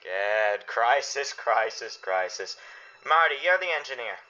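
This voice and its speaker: A man acting in a radio play as a gruff middle-aged father with a dry, dismissive wit. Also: medium pitch, lively intonation, measured pace, pushed and bright, highs cut off.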